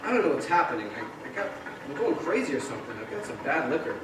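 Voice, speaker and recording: Male actor as frantic family man, high voice, swooping intonation, agitated, pleading, stage radio drama, distant echoey hall. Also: sing-song, quick pace. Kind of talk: stage radio-play acting. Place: live stage in a large echoing hall. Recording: echoey hall from far off, noisy.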